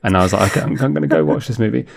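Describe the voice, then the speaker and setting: A man's voice: medium pitch, lively intonation, racing, vocal fry, slightly rough. A man co-hosting a podcast, talking in a casual, rambling, conversational way about films. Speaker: podcast co-host. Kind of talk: casual film discussion.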